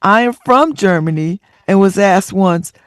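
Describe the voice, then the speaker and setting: A woman hosts a radio talk show, reading listener stories aloud and breaking into laughter and sassy remarks between them. Her pitch swings widely as she reads and comments. The sound is dry and close, with no room around it. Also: measured pace, dry recording. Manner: laughs often, animated and sassy asides.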